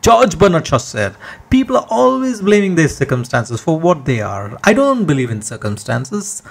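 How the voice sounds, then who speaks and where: A man reading a scripted motivational text as narrator, with an emphatic, deliberate oratorical delivery. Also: a little high, sing-song, gravelly.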